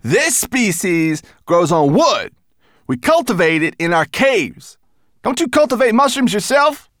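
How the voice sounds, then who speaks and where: A boisterous, high-pitched storybook brownie. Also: male voice, very animated, unhurried, dry recording, studio quality, full character voice. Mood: jovial. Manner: exuberant, loud, exclaiming. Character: hearty, boisterous male brownie mushroom grower. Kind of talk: storybook character acting in audiobook.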